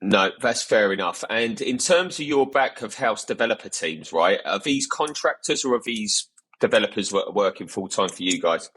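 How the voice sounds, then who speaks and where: A male interview host with a low, husky voice and an upbeat, conversational way of talking. He sums up what his guests say and prompts them with a quick "yeah?". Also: animated, a bit bright, poor audio. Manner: upbeat, rephrases guests' answers, asks "yeah?". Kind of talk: casual interview.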